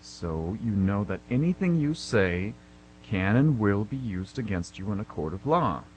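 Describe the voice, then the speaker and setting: A man's voice: on the low side, sing-song, a little slow, rough recording. A man playing a stern, unimpressed police officer in a radio play, speaking curtly with sarcasm. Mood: curt, sarcastic. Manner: curt, unimpressed, warning. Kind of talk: amateur radio play acting. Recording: hum and clear background noise.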